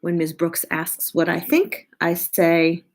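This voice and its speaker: A woman reading a picture book aloud to children in an expressive, deliberate way, as a children's librarian. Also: lowish voice. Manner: animated, drawn-out, deliberate delivery.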